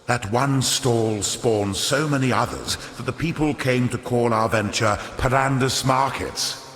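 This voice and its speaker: An actor playing a sly, pompous older fallen aristocrat turned greedy merchant in a video game, speaking in florid, theatrical, unctuous patter. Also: male voice, mid-range voice, a little slow, fairly bright tone. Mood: bitter, wistful. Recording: echoey hall reverb on voice.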